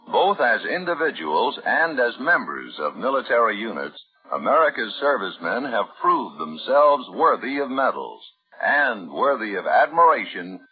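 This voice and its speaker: A formal male announcer from fifties radio with a low voice and lively, swooping intonation. He reads solemnly from a script, and the sound is thin and tinny like an old broadcast.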